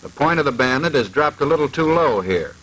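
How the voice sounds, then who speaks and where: A man's voice: fairly high pitch, expressive, brisk, a bit bright, poor audio. A man reading formal, precise scripted narration in the manner of a 1940s military instructional film.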